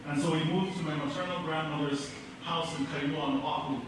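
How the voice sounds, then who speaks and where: An earnest middle-aged man delivers a measured speech in a school auditorium, his fairly high voice carried from a distance. The hall rings with heavy echo and a steady hum of room noise. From American Samoa. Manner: measured, earnest storytelling with pauses. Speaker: keynote speaker. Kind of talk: prepared speech. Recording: echoey hall from far off.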